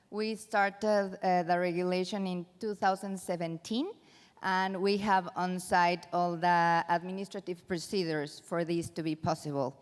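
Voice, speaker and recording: A woman's voice: fairly low pitch, clear, resonant tone. Mexican woman attorney in a reverberant hall. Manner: measured, with hesitant fillers. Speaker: international attorney. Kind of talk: conference panel remarks. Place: large conference hall.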